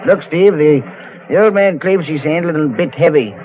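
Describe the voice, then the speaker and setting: An actor playing an easygoing, practical ship's chief engineer in an old-time radio drama. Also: male voice, on the high side, moderate pace, lightly acted. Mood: businesslike. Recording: muffled old radio recording, faint noise.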